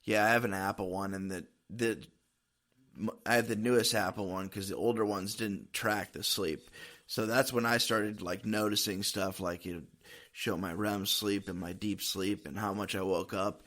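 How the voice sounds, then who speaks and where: A man's voice: fairly low pitch, fairly even intonation, moderate pace, fairly bright tone. A man hosting a podcast, chatting casually and informally in a rambling way, as if thinking aloud. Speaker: podcast host. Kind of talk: casual interview chat.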